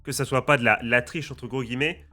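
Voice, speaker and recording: A man with a slight head cold, casually explaining a game challenge's rules to his viewers in a lively, chatty way, with faint music playing behind him. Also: medium pitch, a little fast. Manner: lively, addresses viewers as friends and guys. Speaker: gaming YouTuber and streamer.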